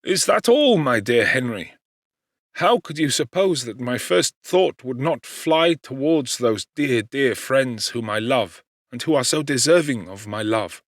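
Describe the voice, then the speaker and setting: A man voicing an overwrought, fervent young gentleman scientist in an audiobook, speaking in a formal, impassioned way. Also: medium pitch, expressive, measured pace, no echo, clean studio sound. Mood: relieved, fervent.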